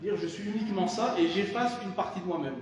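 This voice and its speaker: A man's voice: high-pitched, fast talker, a little mellow. A man giving a public lecture. He talks in a thoughtful way, with frequent hesitations and restarts. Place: lecture hall. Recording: echoey hall from far off, faint noise.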